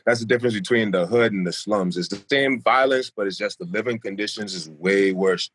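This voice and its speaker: A man's voice: fairly low pitch, brisk, a bit bright. A young man with a light Bahamian accent mixed with South Florida, talking loosely as a podcast guest and often repeating himself. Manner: laid-back, rambling, repeats himself. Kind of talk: casual podcast chat.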